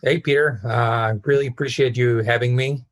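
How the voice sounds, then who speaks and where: A man in his thirties with an Israeli accent, answering interview questions casually in halting phrases with frequent pauses. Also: medium pitch, fairly even intonation, no room sound. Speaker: podcast guest, real estate entrepreneur.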